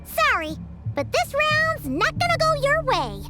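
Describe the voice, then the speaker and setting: A woman voicing a tiny, childlike, feisty companion in an anime-style video game, squeaky and excitably indignant. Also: unhurried, reverberant, loud music behind the voice, heavily acted. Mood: defiant.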